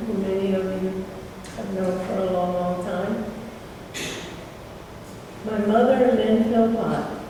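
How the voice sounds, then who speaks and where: A woman leading a service, reading a member's written tribute aloud in an even, measured way. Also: medium pitch. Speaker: service leader. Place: large meeting hall indoors. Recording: echoey hall, far from mic, background noise.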